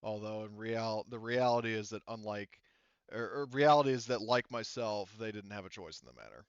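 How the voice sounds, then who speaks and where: Low-voiced man running a tabletop game, dull audio. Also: expressive, clear, resonant tone, no echo. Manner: halting, rambling explanations, casual swearing. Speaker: game master. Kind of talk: casual tabletop game narration.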